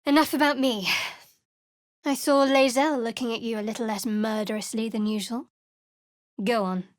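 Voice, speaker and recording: A guarded young priestess of a dark goddess, voiced by a woman for a video game, cool and wry with a fairly high voice. Her prayers come out as hushed whispers. Recorded close in a dead-dry studio. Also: fairly even intonation, moderate pace, dry recording, natural voice. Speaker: game companion character. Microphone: close studio mic.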